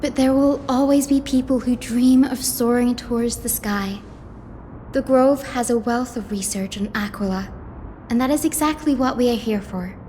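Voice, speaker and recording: A woman voicing a gentle, wistful young female chief physician in video game cutscene dialogue, soft and reassuring. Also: a little high, moderate pace, slight background noise, close to an everyday voice. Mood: calm musing. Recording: long reverb, music behind. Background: music.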